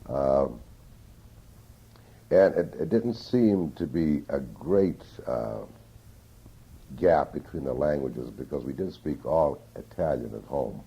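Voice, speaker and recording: An older man reminisces about his youth for an oral history interview, pausing often to find his words. His voice sits very low, rough and gravelly with a husky edge. The sound is dull and muffled, like an old interview tape. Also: expressive. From Newton, Massachusetts. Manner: slow, reflective, with many hesitations.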